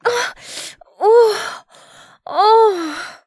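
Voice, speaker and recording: Slow-talking, coy girlfriend played by a woman. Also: sits low, darkish tone, no room sound, studio quality. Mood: breathless, flustered.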